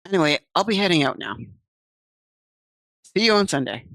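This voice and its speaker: A woman's voice: fairly low pitch, very animated, normal speed, gravelly, fairly bright tone, dry recording, studio quality. A woman streaming, reading visual novel text aloud and chatting casually with viewers on a desk mic. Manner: chatty, reads game text aloud and answers chat.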